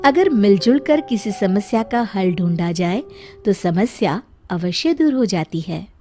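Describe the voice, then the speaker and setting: A woman's voice: medium pitch, lively intonation, unhurried, dark tone. A woman narrating a scripted children's moral story as a storyteller. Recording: background music under the voice. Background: music.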